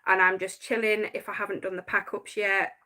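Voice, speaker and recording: A woman's voice: medium pitch, level tone, fairly quick, sharp tone. A woman, chatting casually on a live stream about her notes and laughing often. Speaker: paranormal channel host.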